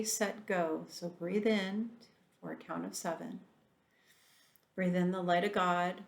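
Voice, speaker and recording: A woman leading a guided meditation in a softened, soothing voice, drawing out slow breathing instructions. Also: lowish voice, gravelly, echoey room.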